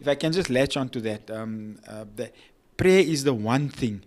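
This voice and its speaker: A man's voice: medium pitch, animated, moderate pace, darkish tone. A man co-hosting a podcast, often voicing agreement and speaking in repeated emphatic phrases. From South Africa. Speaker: podcast co-host. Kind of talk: casual podcast chat.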